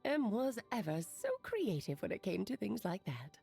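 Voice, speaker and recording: A woman's voice: mid-range voice, sing-song, moderate pace, gravelly, warm tone, soft background music. A woman playing a playful, teasing witch aunt in an anime game, with whimsical, theatrical airy confidence. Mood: breezy.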